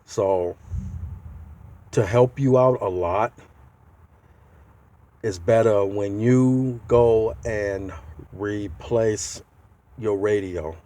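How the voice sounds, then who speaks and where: Low-voiced, slow-talking man. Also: mellow. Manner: halting, pauses mid-sentence to find words. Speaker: DIY car video host. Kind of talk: casual how-to walkthrough. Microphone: handheld tablet.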